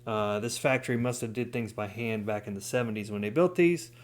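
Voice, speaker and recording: A man's voice: on the low side, fairly quick. Casual man walking through a DIY project. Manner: informal, explaining step by step. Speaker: DIY hobbyist video host. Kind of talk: casual hands-on tutorial talk.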